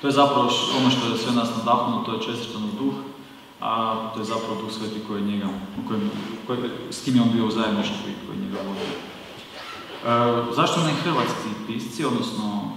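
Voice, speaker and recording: A man's voice: lowish voice, steady tone, fast talker, gravelly. A man hosting an event who introduces the next guest in a hesitant way, with many restarts. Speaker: event host. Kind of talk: introducing a speaker. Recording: echoey hall from far off, faint hiss.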